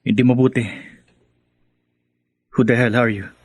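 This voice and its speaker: A man's voice: mid-range voice, fairly even intonation, measured pace, husky, no echo. A man playing a defiant grown son in a radio drama, bitter, sarcastic and confrontational. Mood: angry, scornful. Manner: sarcastic, confrontational, switches into English. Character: bitter, defiant grown son rejecting his new stepmother.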